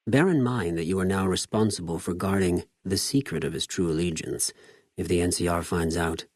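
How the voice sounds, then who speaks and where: An actor playing a cold, cunning spy of a Roman-styled slaver army in a video game, speaking in a measured, formal, menacing way with precise diction. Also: male voice, low-pitched, husky, no echo, studio quality. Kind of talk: scripted video game character dialogue.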